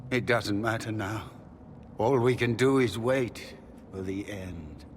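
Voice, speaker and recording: An actor playing a remorseful middle-aged magister and desperate father in a video game, speaking gravely and theatrically with resignation. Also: fairly low pitch, slow pace, slight background noise. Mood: bitter resignation. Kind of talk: dramatic video game dialogue.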